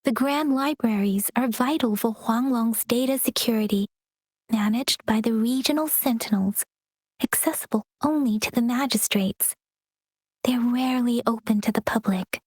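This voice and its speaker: A soft-spoken, gentle young guide. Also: female voice, on the high side, normal speed, slightly rough, no room sound. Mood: calm. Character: gentle, polite young woman guide, calm and informative. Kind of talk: game cutscene voice acting.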